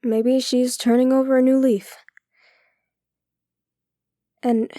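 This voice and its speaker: A woman's voice: sits high, little pitch movement, slow, mellow, no room sound, clean studio sound. A woman playing a guarded, protective police chief and weary, stubborn older sister in an audio drama. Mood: concerned, gentle.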